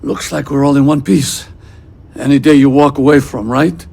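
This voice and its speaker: An actor playing a chatty, rough-edged veteran space patrol pilot in a video game, raspy and breezy. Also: male voice, mid-range voice, steady tone, moderate pace, darkish tone. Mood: relieved, chatty. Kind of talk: video game character dialogue.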